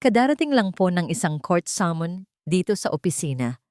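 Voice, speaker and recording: A woman narrator plays a serious lawyer in a dramatic audio story. The delivery is formal and deferential, in a mellow voice with a dry sound. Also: on the low side, very animated, normal speed, no room sound. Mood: serious, urgent. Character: serious, respectful lawyer bearing bad news.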